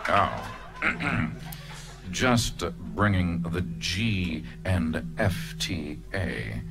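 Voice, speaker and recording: A man's voice: deep voice, very animated, very slow, gravelly, pitched below the performer's own voice, heavily acted. A man voicing a fawning, scheming young male pony sidekick in a live fan reading. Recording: show music playing underneath.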